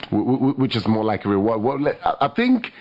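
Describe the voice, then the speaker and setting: A man's voice: mid-range voice, big pitch swings, a little fast, muffled recording. A man from Nigeria hosting a morning radio show, upbeat and chatty, talking straight to his listeners and interviewing a studio guest. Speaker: radio host.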